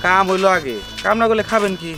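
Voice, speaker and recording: A man's voice: sits high, fast talker, over music. A man playing a worker in a comic short drama, talking earnestly and making excuses for his workmate. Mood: lecturing. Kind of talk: acted dialogue in a Bangla comic short drama.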